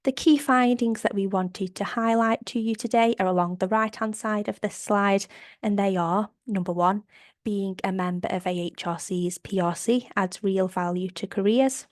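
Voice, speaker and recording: A woman with a slight cold, sounding a bit croaky, presenting survey findings on a video call in an upbeat, methodical way. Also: medium pitch, fairly even intonation, moderate pace, no room sound, clean studio sound. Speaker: operations manager presenting survey data. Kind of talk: webinar slide presentation.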